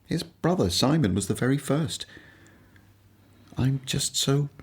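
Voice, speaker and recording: An actor playing a humble, self-doubting former tax collector in a radio play, earnest and hesitant. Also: male voice, medium pitch, animated, unhurried, slightly rough.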